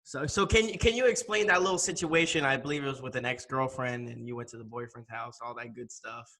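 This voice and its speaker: A man's voice: medium pitch, brisk, sharp tone. A man from New Jersey who grew up in Miami, hosting an interview as an enthusiastic fan of his guest. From New Jersey, raised in Miami. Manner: enthusiastic fan, friendly, asks questions. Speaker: podcast host. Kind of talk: casual interview conversation.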